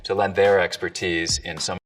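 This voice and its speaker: A man who works as a dialect coach gives a clear, measured, scripted explanation in an educational video. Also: deep voice, level tone. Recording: distant tinny video audio with faint music.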